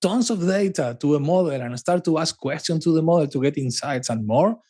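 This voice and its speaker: A man with an Argentine Spanish accent talks as a podcast guest. His voice sits a little high and swings widely in pitch. He restarts phrases and repeats words for emphasis. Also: brisk. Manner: animated, with frequent restarts and emphatic repetition. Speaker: podcast guest, cloud advocate. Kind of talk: casual conversational interview.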